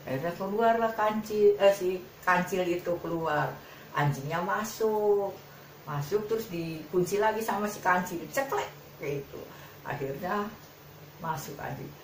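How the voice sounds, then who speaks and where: A woman's voice: on the low side, measured pace. A woman telling a children's tale informally, in a colloquial and repetitive way. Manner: colloquial, repetitive, with sound effects. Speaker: storyteller. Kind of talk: informal improvised children's storytelling. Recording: roomy echo with faint background noise.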